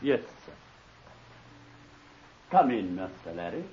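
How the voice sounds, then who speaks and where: An actor playing a discreet, formal English butler in a 1940s radio drama, speaking deferentially. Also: male voice, deep voice, sing-song, slow pace, a little mellow, a little hiss or hum, full character voice. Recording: dull vintage 1940s radio recording.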